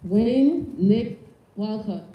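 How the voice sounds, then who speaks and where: A woman reading out a solemn roll call of names in a measured way, one at a time with long pauses. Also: mid-range voice, expressive. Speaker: speaker reading names at a memorial event. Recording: echoey, dull lo-fi event recording with noise.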